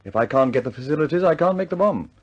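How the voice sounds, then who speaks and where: An actor playing a stubborn, understated British engineer in a vintage radio drama, measured and politely insistent. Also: male voice, a little high, animated, husky, dull audio.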